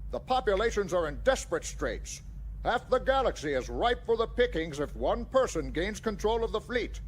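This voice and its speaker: An actor playing a grave alien admiral in a sci-fi audio drama, speaking in a formal, weighty manner. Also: male voice, sits high, sing-song, moderate pace, low-quality recording. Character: grave alien male admiral of a rebel fleet. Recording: faint background noise.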